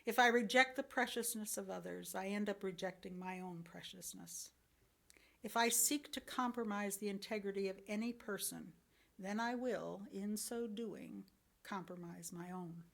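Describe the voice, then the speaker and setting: A woman reading a sermon from a script as a lay church speaker, in earnest, deliberate phrases. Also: mid-range voice, creaky. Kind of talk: sermon, read from a script with short personal commentary.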